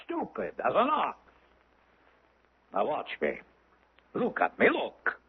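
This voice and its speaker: A domineering, hypnotic maestro with a husky, rough voice that swings wildly from low to high. He is grandiose and volatile in the theatrical manner of 1970s radio drama. The old broadcast sounds muffled. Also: huge pitch swings, slow pace, exaggerated voice. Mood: commanding. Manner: theatrical, speaks of himself in third person. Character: domineering, mesmerizing male music master, grandiose and volatile.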